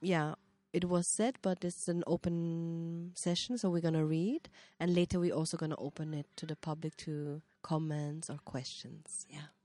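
A woman with a low, mellow voice introduces herself to an audience. She is relaxed and uses fillers like yeah and um. The sound is dry. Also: normal speed, hardly any pauses, dry recording. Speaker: panel speaker.

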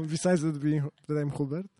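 A man's voice: on the high side, dark tone, no echo. A man from Poland introducing himself on a panel, hesitant and self-deprecating. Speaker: panel speaker.

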